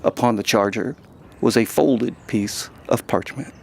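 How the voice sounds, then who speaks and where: A man's voice: on the low side, measured pace, gravelly, slight background noise. A man reading scripted fantasy narration as the storyteller of an audio drama.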